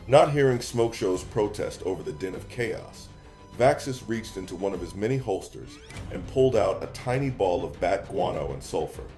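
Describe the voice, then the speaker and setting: Low male voice narrating a fantasy fight scene, scripted, quiet music in the back. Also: expressive, moderate pace.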